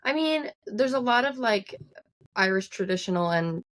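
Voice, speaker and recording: A woman from Newfoundland with a softened Newfoundland accent, a singer-songwriter chatting casually as an interview guest, full of "like" and "I mean". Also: medium pitch, lively intonation, moderate pace, dry recording. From Newfoundland, Canada. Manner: chatty, uses many likes and I means.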